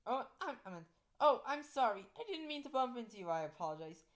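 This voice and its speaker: Woman as a polite new teacher, pitched up. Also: sing-song, normal speed, natural voice. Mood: apologetic. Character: polite, friendly young new teacher, Miss Peterson.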